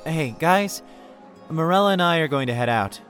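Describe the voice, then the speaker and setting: Actor as an easygoing young man, lively pitch. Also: normal speed, faint music behind. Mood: weary, conciliatory. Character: easygoing young man, conflict-avoiding, loyal peacemaker friend. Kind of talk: audio drama acting.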